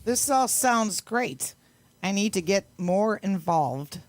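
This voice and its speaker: Slow-spoken, curious, agreeable woman, acted. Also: medium pitch, animated, no room sound. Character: curious, agreeable woman newcomer to a quirky club. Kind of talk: amateur audio play reading.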